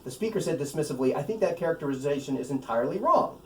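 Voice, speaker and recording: American male author, live book reading, wry asides, slightly high voice, lively intonation, distant mic in echoey room, faint noise. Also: racing. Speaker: author reading from own novel.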